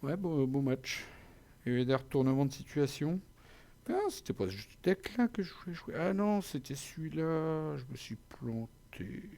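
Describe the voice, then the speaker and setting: A man's voice: fairly high pitch, lively intonation, normal speed, creaky, a little mellow. Easygoing male host, hesitant. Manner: hesitant, stumbling, chatty. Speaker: player and channel host. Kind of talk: casual post-match chat.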